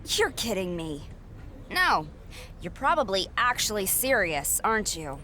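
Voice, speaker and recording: A determined wrestling challenger with a very animated delivery. She is sarcastic and confrontational, in video game cutscene style, over faint background noise. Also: mid-range voice, a little slow. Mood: skeptical, sarcastic.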